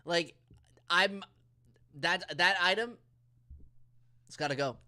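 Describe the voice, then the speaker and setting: Bright, chatty young man in podcast talk. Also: high voice, slow pace, smooth. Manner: chatty, hesitant, leans on "like" as filler. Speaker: podcast co-host. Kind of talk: casual conversation.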